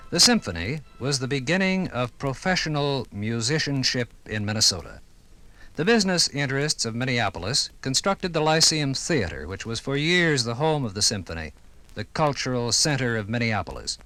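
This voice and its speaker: An American man reading scripted 1950s radio documentary narration in a formal, measured, authoritative manner. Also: medium pitch, very animated, pushed and bright. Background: music.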